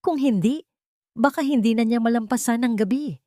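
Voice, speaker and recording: A woman acts out a tired doctor in an audiobook drama. The manner is grave and urgent, the pitch raised and the sound dry. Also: moderate pace, mellow, no echo, natural voice. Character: serious, tired, hesitant doctor.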